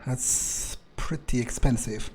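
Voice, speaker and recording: A man's voice: medium pitch, steady tone, slow, darkish tone, slight background noise. A man thinking aloud in character as a cynical vampire during gameplay, hesitant, disdainful and sweary. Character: cynical, scornful male vampire. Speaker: gamer playing in character.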